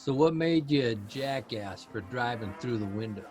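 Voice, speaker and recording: An actor playing an earnest, worried grown son in a naturalistic audio drama, halting and exasperated but affectionate. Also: male voice, mid-range voice, animated, darkish tone, close to an everyday voice. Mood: subdued. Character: earnest grown son, a newspaper writer, worried about his aging father. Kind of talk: naturalistic audio drama acting at a live reading. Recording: music behind a live stage reading.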